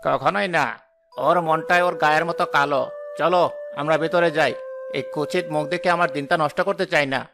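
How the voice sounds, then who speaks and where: A man's voice: on the high side, fairly even intonation, fairly quick, over music, close to an everyday voice. A man playing a hot-headed, doting younger brother in a melodramatic cartoon, indignant and threatening. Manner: aggressive, indignant. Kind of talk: melodramatic cartoon story dialogue.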